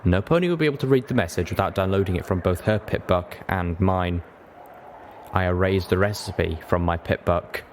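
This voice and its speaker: Male audiobook narrator, lower-pitched, scripted first-person storytelling, faint noise in the recording. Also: moderate pace.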